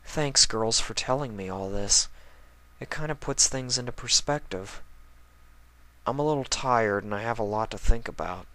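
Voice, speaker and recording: A man's voice: medium pitch, moderate pace, bright, forceful tone, close to an everyday voice, rough recording. Man playing a subdued young male pony. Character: sad, earnest young male dragon turned pony. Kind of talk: fan fiction reading of a cartoon.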